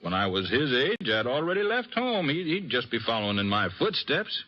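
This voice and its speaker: An actor playing a restless American gambler father in an old-time radio western, blustery and regretful, with a halting, folksy delivery. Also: medium pitch, big pitch swings, highs cut off. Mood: surprised, proud.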